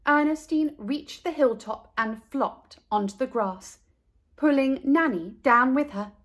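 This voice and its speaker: A woman reading a children's story aloud in an expressive, deliberate way, speaking directly to young listeners. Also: high voice. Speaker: children's book reader.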